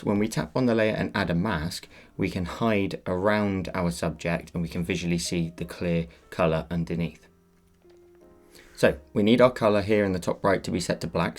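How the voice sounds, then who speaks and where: Man, deep voice, slightly croaky; patient tutorial host guiding beginners. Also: a little mellow. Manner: patient, instructive walkthrough. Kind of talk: step-by-step drawing tutorial.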